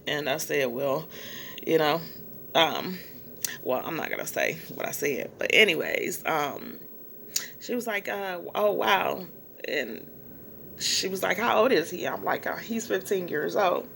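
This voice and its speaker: A middle-aged woman and small business owner talking casually to her viewers in a rambling way, with many fillers and pauses. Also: on the low side, expressive, vocal fry, fairly bright tone, slight background noise. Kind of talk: casual vlog monologue.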